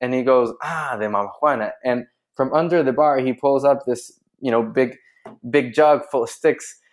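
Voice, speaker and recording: A man's voice: mid-range voice, moderate pace. A man from Chile, a guest on a podcast, telling a story in casual chat and laughing often. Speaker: guest, drinks brand founder.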